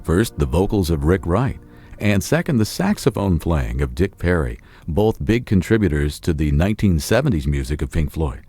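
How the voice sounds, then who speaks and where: A man hosting a radio music special, reading scripted links with a lively, promotional delivery. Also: low-pitched, moderate pace, hardly any pauses, breathy edge. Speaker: radio host. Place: radio studio. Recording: dry studio sound.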